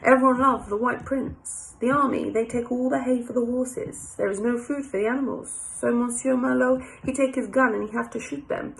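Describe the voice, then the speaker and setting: A woman's voice: on the high side, steady tone, moderate pace, vocal fry, hardly any pauses, pitched above the performer's own voice, rough recording. A woman voicing a resigned, mournful male French cafe owner in a storybook, with a put-on French accent and broken English. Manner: broken English, short fatalistic phrases. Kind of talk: storybook character voice.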